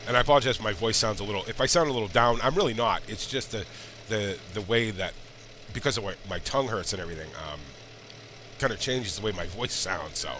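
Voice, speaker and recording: A man's voice: fairly low pitch, fast talker. Congested man rambling over engine noise. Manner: rambling, chatty asides while playing. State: congested, sick. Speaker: gaming channel host. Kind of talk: casual let's-play commentary. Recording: loud game engine noise underneath.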